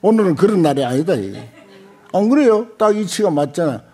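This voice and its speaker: An older man, a Buddhist monk giving an informal lecture in a halting, conversational way with short interjections. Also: high-pitched, very animated, dark tone. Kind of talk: informal dharma lecture with commentary on a text. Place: lecture room at a temple center, seated at a desk. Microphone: desk gooseneck microphones close in front.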